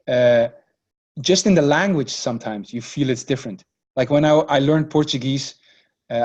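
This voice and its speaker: A man whose first language is Dutch, speaking English as a podcast guest in a relaxed, searching way, often restarting his phrases. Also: medium pitch. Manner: hesitant, many restarts and repeated words. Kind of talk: casual conversational interview.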